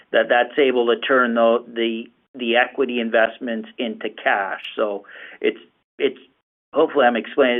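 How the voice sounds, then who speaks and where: A man speaking as a finance executive answering questions on an earnings call, explaining haltingly and repeating words. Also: mid-range voice. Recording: phone-like, narrow conference call line.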